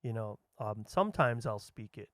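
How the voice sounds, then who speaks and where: A man's voice: on the low side, lively intonation, moderate pace, a bit bright, no echo, clean studio sound. A man in a casual podcast chat, rambling along with lots of fillers and restarts. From United States.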